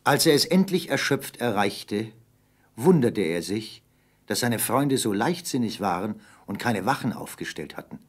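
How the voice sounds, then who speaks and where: A man's voice: fairly low pitch, big pitch swings, a little slow. A man narrating an adventure radio play in a scripted storytelling style.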